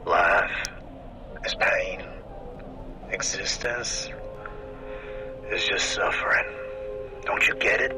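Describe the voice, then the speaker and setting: Despairing goth pitchman: very slow, low, breathy gloom over music. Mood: morose. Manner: morose, drawn-out. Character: gloomy melodramatic goth man selling trendy clothes. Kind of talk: parody commercial.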